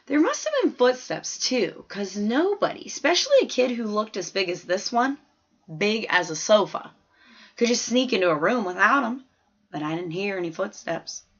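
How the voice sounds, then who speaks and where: A woman's voice: medium pitch, very animated, moderate pace, fairly bright tone, breathy edge, heavily acted. Casting: woman to play an observant, plain-talking fourth-grade girl narrating an audiobook, folksy and earnest, mid-range voice, slightly lo-fi sound. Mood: chatty, matter-of-fact. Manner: chatty, folksy, emphatic childlike storytelling. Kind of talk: scripted first-person story narration.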